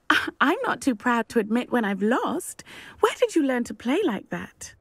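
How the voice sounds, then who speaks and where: A woman's voice: fairly high pitch, big pitch swings, a little slow, vocal fry, husky, no room sound, full character voice. A woman playing a confident, competitive teenage witch in a video game, with a teasing, cocky manner. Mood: playfully competitive. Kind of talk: video game character acting.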